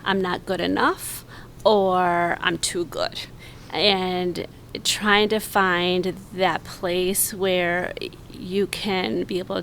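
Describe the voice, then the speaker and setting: A woman in her forties, a podcast guest, talking in an earnest, reflective way with many pauses. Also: mid-range voice, fairly bright tone, a little hiss or hum. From United States, raised in Mexico. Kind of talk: casual conversation.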